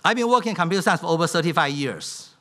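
A man's voice: a little high, lively intonation, rapid-fire, sharp tone. A middle-aged man giving a keynote speech from a podium in a conference hall, speaking in simple. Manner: non-native English, simplified grammar. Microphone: podium microphone.

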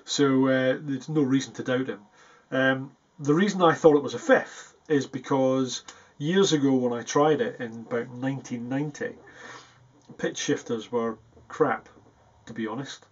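A man's voice: mid-range voice, steady tone, unhurried, slightly rough. A man in his forties chatting casually and a little hesitantly to camera as a self-deprecating guitarist, recorded in a small practice room. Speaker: guitarist presenting a video. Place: small foam-treated practice room indoors. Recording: dull, muffled sound.

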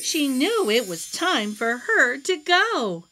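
A middle-aged woman hosting a children's read-aloud, telling a rhyming storybook in an animated, sing-song way over music. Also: high voice, slow. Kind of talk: expressive rhyming storybook narration. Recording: music behind the voice.

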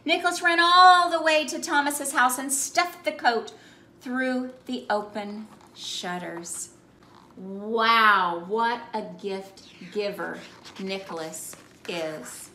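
A woman in her thirties reading a storybook aloud to children in an animated, expressive way with emphatic phrasing. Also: a little high, takes it slowly, slight background noise. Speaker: children's ministry read-aloud host. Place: small indoor room by a Christmas tree. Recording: roomy indoor echo.

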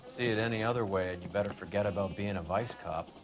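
Cynical American 1940s vice cop, male actor, slangy and sardonic, lowish voice, clear music underneath. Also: fast, close to an everyday voice. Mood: cynical, worldly. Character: cynical, brash 1940s vice squad detective. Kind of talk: video game dialogue. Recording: music behind the voice.

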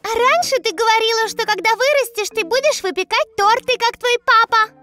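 A woman's voice: very high voice, fairly quick. A woman voicing a cheerful, curious little girl playmate in a children's cartoon. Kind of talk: children's animation dub. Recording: slightly distant, children's music behind.

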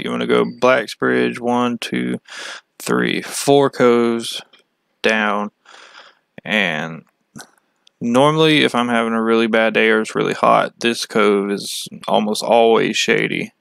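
Slow-talking man, clear and dry. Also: on the low side, a bit bright, no room sound. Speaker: fishing channel host. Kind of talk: casual screen-share map walkthrough.

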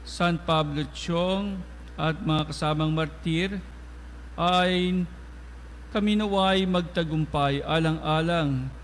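A man's voice: on the high side, a little hiss or hum. A man leading formal prayers and giving parish announcements as a priest, speaking at a lectern microphone in a large church. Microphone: stand mic at lectern. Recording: long church reverb, faint ambience.

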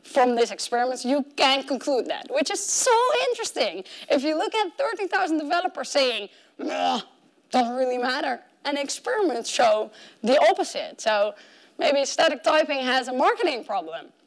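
A high, animated female voice from the front of a tech conference room, walking the audience through studies in a conversational way. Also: moderate pace. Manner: animated, conversational, acts out imagined objections. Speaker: conference keynote speaker. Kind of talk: conference talk explaining research. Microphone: clip-on mic at the collar.

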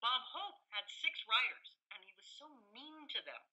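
A woman's voice: sits high, very animated, normal speed, pushed and bright, poor audio. A middle-aged or older woman talking as an expert guest in a conversational interview, telling anecdotes in a chatty, opinionated way. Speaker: guest expert, professor and author.

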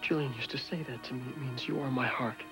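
A man's voice: medium pitch, steady tone, fast, music in the background, natural voice. A man in his thirties acting a grieving husband in a daytime soap, tense and disbelieving, on a studio set.

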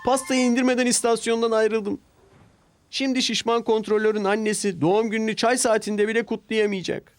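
Man voicing an eager, high-pitched little steam engine. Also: little pitch movement, fairly quick, no room sound, lightly acted. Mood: dejected. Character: eager, anxious young male steam engine. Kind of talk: children's cartoon character voice.

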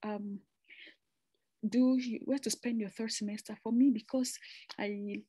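A woman's voice: medium pitch, fairly even intonation, measured pace, dark tone, no room sound. A young woman from Nigeria giving an informal slide presentation, chatty and conversational, often restarting phrases with asides. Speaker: presenter explaining a master's program.

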